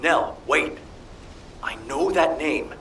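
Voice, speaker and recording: Slightly high-voiced, distant man. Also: lively intonation, slow pace, a bit bright, slight background noise. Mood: intrigued. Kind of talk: video game voice acting.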